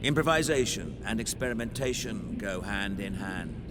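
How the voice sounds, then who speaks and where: An actor playing a composed, scholarly sci-fi Inquisitor in a video game, speaking in a measured, formal, understated way. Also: male voice, on the low side, lively intonation, heavy background noise, close to an everyday voice. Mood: measured, dry. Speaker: Imperial Inquisitor.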